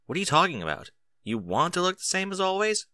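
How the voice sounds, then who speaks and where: A man's voice: sits high, sing-song, fast talker, sharp tone, no room sound, pitched above the performer's own voice, full character voice. A man voicing a young male dragon sidekick in an audiobook story, casual and sarcastic. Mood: sardonic.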